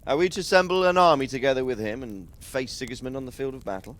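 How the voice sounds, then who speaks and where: A man's voice: medium pitch, fast, fairly bright tone, close to an everyday voice. A man doing a wary lord poking at the plan in a game. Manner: wary, probing questions. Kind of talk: video game cutscene acting.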